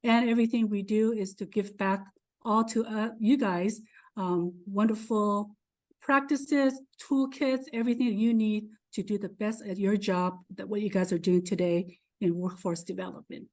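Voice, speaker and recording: A woman's voice: mid-range voice, fairly even intonation, moderate pace, a little mellow. A woman serving as an association president, giving an effusive welcome on a video call with many fillers. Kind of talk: welcome address.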